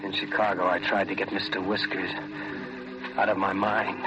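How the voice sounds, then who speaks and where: An actor playing a hard-bitten, fearful small-time criminal on the run, terse and slangy, in 1940s radio horror style. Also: male voice, sits low, level tone, normal speed, background noise, lightly acted. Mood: haunted, weary. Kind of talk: 1940s radio horror acting, first-person narration and dialogue. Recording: muffled vintage radio drama with loud music.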